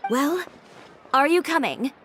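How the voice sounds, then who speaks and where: A woman's voice: high-pitched, sing-song, takes it slowly, a bit bright, a little hiss or hum, heavily acted. Cool, blunt young designer woman. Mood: blunt, dry. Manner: composed, curt, dry. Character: proud, fiery young female fashion designer, cool and blunt. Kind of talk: anime video game voice acting. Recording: game music behind the voice.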